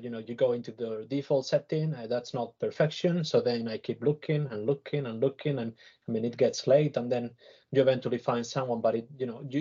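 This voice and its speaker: A man with a husky voice giving his opinion as a panel guest, earnest and repeating words as he thinks. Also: medium pitch, dark tone, dull audio. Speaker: panel guest, tech director. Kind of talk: panel discussion, conversational opinion.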